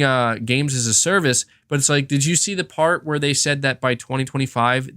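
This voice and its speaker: A man's voice: medium pitch, a bit bright, smooth, no echo, clean studio sound. A man hosting a gaming podcast, talking casually and emphatically and repeating words to drive his points home. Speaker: podcast host. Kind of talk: casual gaming commentary, answering chat comments.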